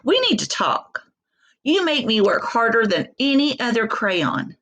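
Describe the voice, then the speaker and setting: A woman's voice: on the high side, big pitch swings, unhurried, fairly bright tone, slight background noise. A woman voicing a weary, overworked storybook crayon who lists grievances emphatically. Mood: weary, aggrieved.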